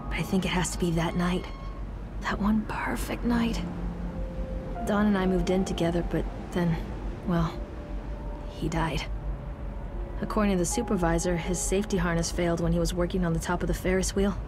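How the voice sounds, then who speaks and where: A woman's voice: fairly low pitch, fairly even intonation, moderate pace, long pauses, over music, lightly acted. An actress playing a bitter, unravelling young mother searching for her lost son in a horror game, speaking in a confessional way. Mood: grieving, reflective. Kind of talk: first-person horror game monologue and dramatic acting.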